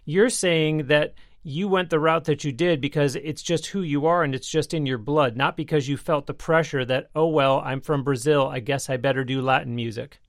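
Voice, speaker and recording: A man's voice: on the high side, no room sound, clean studio sound. A man hosting an interview, asking long, carefully framed follow-up questions. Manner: measured, long framing questions. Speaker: interviewer, host.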